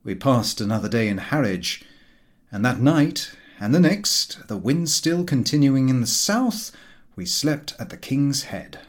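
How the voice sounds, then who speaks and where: A man reading a comic literary story aloud as an audiobook narrator, in an expressive storytelling manner. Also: medium pitch, measured pace. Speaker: audiobook reader.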